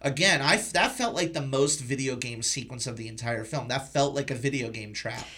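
Bright-voiced man in casual film talk. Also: medium pitch, fairly quick, breathy edge. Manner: informal, sweary, emphatic. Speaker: podcast host discussing a film.

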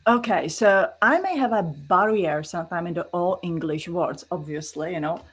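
A woman's voice: on the low side, moderate pace, breathy edge. A middle-aged woman with a Czech accent, earnestly explaining spiritual experiences as an interview guest in non-native English.

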